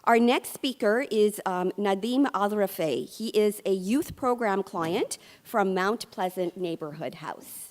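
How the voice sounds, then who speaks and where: A woman's voice: mid-range voice, a little slow, hardly any pauses. Woman moderating a meeting. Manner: friendly, informal announcements. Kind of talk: event moderating.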